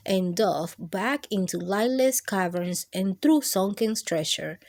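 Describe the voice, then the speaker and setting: A woman hosting a children's storytime, reading picture books aloud expressively with drawn-out emphasis for young listeners. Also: medium pitch. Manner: drawn-out, emphatic delivery addressed to small children.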